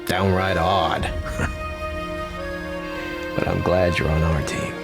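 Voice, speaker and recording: An easygoing army lieutenant for a war game. His delivery is deep and unhurried, with a wry edge. Loud music plays behind him. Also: flat delivery, vocal fry, heavily acted. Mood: wry, friendly. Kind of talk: game cutscene acting.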